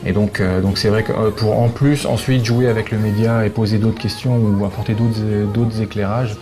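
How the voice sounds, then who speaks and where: A man's voice: fairly low pitch, steady tone, over music. A man talking casually and hesitantly as an interview guest. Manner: hesitant, halting delivery. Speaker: guest, fan translator.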